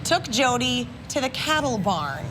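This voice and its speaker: A woman's voice: on the high side, big pitch swings, unhurried, heavy background noise. A woman in her thirties co-hosting on television in a chatty, conversational presenting style. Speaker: TV co-host. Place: outdoor garden set at a fair. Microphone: lapel.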